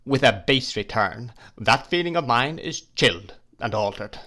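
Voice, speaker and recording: Cold, commanding husband with a measured, severe delivery, read by a man. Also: mid-range voice, lively intonation, pushed and bright. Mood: stern. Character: stern, domineering middle-aged husband.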